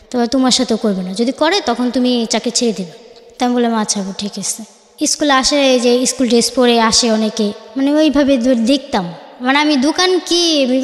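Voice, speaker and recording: A 19-year-old woman with a Bangladeshi accent tells her life story informally, halting and correcting herself as she relays conversations. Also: fairly high pitch. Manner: halting, self-correcting, acts out reported dialogue. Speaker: guest telling life story. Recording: echoey, reverberant room.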